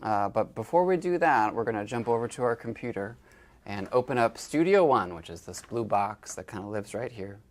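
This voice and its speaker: Low-voiced man giving a casual tutorial. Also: lively intonation, moderate pace. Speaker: instructor demonstrating library equipment.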